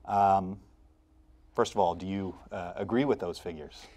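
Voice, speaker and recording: A man's voice: sits low, steady tone, measured pace, darkish tone. An American man in his thirties moderating a panel discussion in a studio. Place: TV studio at a desk.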